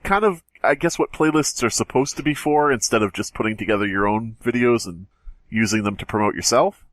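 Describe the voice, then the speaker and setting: A man hosts a podcast in casual, sweary conversation. His voice is medium in pitch, and the recording is very dry and close. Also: brisk, dry recording. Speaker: podcast host. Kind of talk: casual, profane chat and storytelling.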